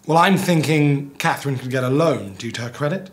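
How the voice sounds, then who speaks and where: An actor playing an earnest, patient young scriptwriter in a naturalistic screen comedy. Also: male voice, medium pitch, fairly quick, lightly acted. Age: young adult. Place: small office with whiteboard.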